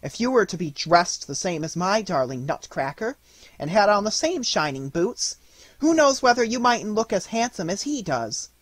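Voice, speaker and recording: A man voicing an earnest, tender little girl in a storybook, speaking in a soft, coaxing way. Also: high voice, big pitch swings, moderate pace, dry recording, voice pushed up, exaggerated voice.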